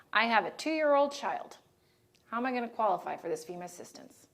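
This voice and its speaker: A woman leading a training session on disaster assistance, talking casually and checking in with the group as she goes. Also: fairly high pitch. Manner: conversational, checks in with "right?" and "okay?". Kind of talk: training presentation.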